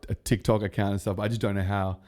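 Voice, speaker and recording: A man's voice: fairly low pitch, little pitch movement, rapid-fire, darkish tone. A young man, a guest on a casual podcast chat, talking in a rambling, laid-back way. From Australia. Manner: rambling, laid-back, full of fillers and restarts. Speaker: guest, music producer.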